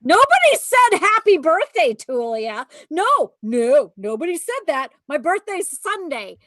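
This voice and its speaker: A woman's voice: extremely high pitch, very animated, measured pace, fairly bright tone, no room sound. A woman in her forties co-hosting a casual chat, tipsy from cocktails, blunt and profane with lots of laughter. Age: 40s. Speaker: podcast co-host.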